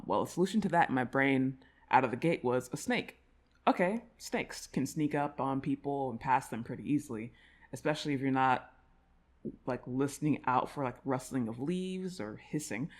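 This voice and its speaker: A woman's voice: extremely low pitch, a little mellow. Giggly, rambling woman chatting casually. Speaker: audio roleplay creator addressing subscribers. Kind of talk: casual chat to listeners.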